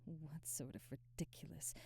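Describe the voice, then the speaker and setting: A woman playing a proud, ambitious vampire aristocrat in an intimate ASMR roleplay, speaking softly and breathily with measured, formal diction. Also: deep voice, fairly even intonation. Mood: proud, resentful. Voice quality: soft, breathy, whispery. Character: proud, ambitious female vampire aristocrat, remorseful, domineering captor.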